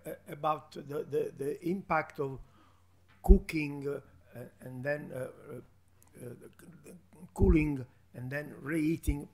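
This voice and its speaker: A man answering questions from a panel table in a big conference hall, his voice gravelly and a bit husky. He stops and restarts often, hunting for words as he explains. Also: on the high side, warm tone. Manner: halting, many restarts and fillers. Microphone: table microphone.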